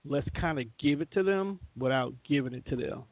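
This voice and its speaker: A man's voice: fairly high pitch, moderate pace, no echo. A man hosting a radio show in casual, conversational group chat, explaining things informally. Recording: narrow tinny phone-like sound.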